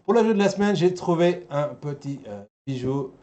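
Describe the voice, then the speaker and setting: A man's voice: fairly high pitch, lively intonation, moderate pace, warm tone. A man hosting a casual group chat, joking and teasing. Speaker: host of a pop-culture show.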